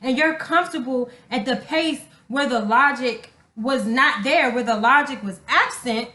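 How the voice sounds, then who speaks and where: Bright, high-pitched young woman podcaster. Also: measured pace. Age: young adult. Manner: emphatic, slangy, profanity-laced. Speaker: podcast co-host. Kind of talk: casual, candid relationship talk. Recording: echoey room.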